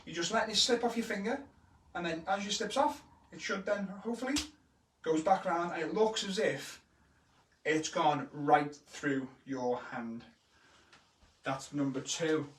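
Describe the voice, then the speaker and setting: A man from Liverpool with a Scouse accent, a kids' entertainer chatting casually through magic tricks and speeding up as he gets excited. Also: a little high, measured pace. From Liverpool, England. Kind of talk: casual live-stream tutorial. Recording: roomy echo, mic at a distance.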